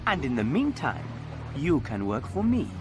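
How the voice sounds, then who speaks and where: Pompous rebel warlord, swooping voice. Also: male voice, mid-range voice, huge pitch swings, normal speed, over music. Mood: grandiose. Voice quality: theatrical, swooping delivery. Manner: pompous, flowery speech. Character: grandiose middle-aged male rebel warlord, courteous, scheming. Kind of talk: video game cutscene acting.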